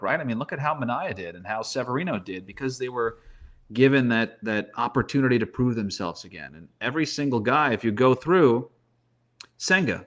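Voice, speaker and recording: A man's voice: medium pitch, a bit bright. Male sports pundit, mid-range voice, conversational and emphatic, poses rhetorical questions while breaking down the game. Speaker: guest baseball analyst.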